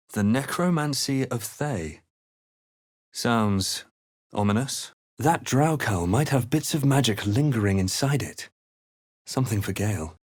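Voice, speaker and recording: An actor playing a brooding male adventurer in a fantasy game, narrating his quest in a measured, theatrical, grave manner. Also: mid-range voice, no room sound, clean studio sound. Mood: ominous, reflective. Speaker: player character in fantasy role-playing game. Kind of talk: dramatic scripted game voice lines.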